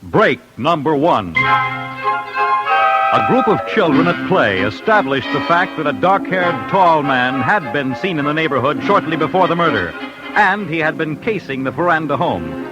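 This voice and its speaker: Animated old-time radio crime narrator, male. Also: on the high side, normal speed, poor audio. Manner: punchy, clipped, suspenseful. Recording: old radio broadcast with music bed.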